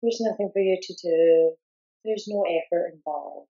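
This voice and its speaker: A woman leading a guided meditation, giving gentle, soothing instructions in short, unhurried phrases with long pauses. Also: fairly low pitch, slightly rough, lo-fi sound.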